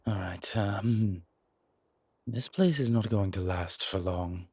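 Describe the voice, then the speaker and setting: Man acting as a low, husky demon investigator. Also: slow pace, darkish tone, no echo. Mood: tense, hurried. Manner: terse, commanding, colloquial. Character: gruff, urgent male incubus demon investigator, protective, wryly friendly. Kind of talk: immersive audio roleplay acting.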